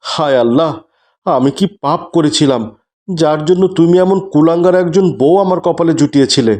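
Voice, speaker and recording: Cartoon husband and father played by a man: devout, stern, exasperated, slightly high voice, melodramatic. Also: a little mellow, lightly acted. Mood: despairing, pleading. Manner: scolding, exasperated, pleading.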